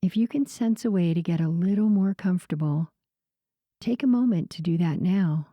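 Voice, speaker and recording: A woman guiding a sleep meditation in a soothing, unhurried way, with a soft voice that turns breathy at the ends of phrases. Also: lowish voice, dry recording. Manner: soothing, unhurried, gentle pauses. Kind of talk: slow guided sleep meditation narration.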